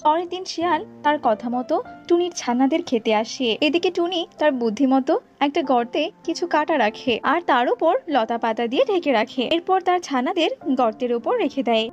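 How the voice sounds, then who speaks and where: A woman reading scripted narration for a children's animated folk tale. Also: high voice, a little fast. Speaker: storyteller narrator. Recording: dull lo-fi audio with music behind.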